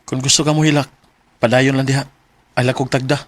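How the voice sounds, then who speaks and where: A man's voice: medium pitch, little pitch movement, fairly quick, lightly acted. A man playing an earnest, hard-up young college student in a radio drama. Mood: wry, consoling.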